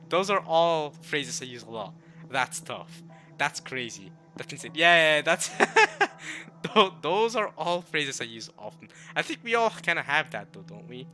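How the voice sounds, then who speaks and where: Rambling male game streamer, non-native English speaker. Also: mid-range voice. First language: not English. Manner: rambling, repeats and rephrases himself, excitable bursts. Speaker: streamer playing and reading a visual novel. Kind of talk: casual let's-play commentary with reading aloud. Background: game music.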